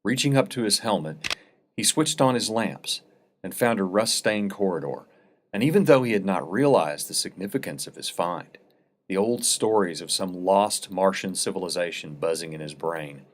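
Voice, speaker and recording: Very low-voiced man narrating a story. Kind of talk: scripted dramatic story narration.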